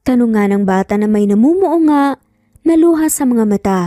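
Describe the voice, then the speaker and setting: Bright-toned Filipino woman narrating a story, music behind. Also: fairly high pitch, lively intonation, moderate pace, no echo, clean studio sound. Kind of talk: dramatic scripted story narration.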